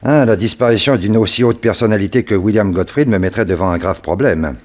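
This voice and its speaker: A senior policeman played by a man in an old French radio serial, with a fairly low voice. He is dry, formal and attentive, weighing his words like an official in charge. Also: fairly quick, natural voice. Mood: grave, businesslike. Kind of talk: 1960s French radio drama acting.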